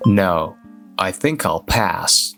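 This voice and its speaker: Slow, low-voiced, reserved office man, acted by a man. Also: expressive, creaky, pushed and bright, faint music behind, full character voice. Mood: flat, dismissive. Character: reserved, awkward male office worker, narrating his own story. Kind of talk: scripted first-person narration in a manga dub.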